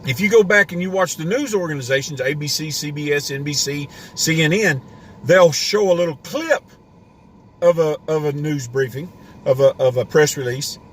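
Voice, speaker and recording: A man's voice: fairly high pitch, moderate pace. Man, live stream host, ranting and rambling, worn voice, parked car, faint background noise. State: voice getting worn. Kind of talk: casual live stream rant.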